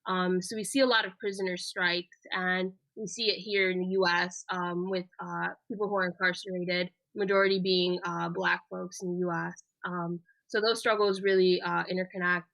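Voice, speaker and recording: A woman's voice: on the low side, level tone, moderate pace, creaky, hardly any pauses, fairly bright tone, no room sound, poor audio. A woman in her twenties, raised in the United States, speaking earnestly as an interview guest, with frequent ums and uhs. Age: 20s. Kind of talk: casual spoken interview.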